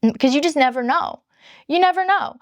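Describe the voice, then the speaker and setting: A woman YouTuber with a high-pitched voice, chatting candidly to her viewers and rambling through long explanations. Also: lively intonation, a little fast, no echo, highs cut off. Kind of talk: casual chat to camera.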